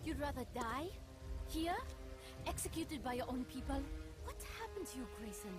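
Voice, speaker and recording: An actress playing a determined resistance agent in a video game, cool and challenging. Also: female voice, high-pitched, animated, moderate pace. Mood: firm, challenging. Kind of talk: video game cutscene acting. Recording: loud music behind.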